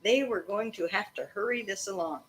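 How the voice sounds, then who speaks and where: A woman reading a children's story aloud expressively, in an animated way meant to engage a young listener. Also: mid-range voice, normal speed, slight background noise.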